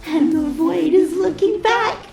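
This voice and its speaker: A woman playing an ominous, taunting, ghostly voice of dread in a comic dub, speaking deliberately and cryptically with an echo. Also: extremely high pitch, creaky, a little mellow, full character voice. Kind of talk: eerie comic-dub voice acting. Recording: echoing voice over music.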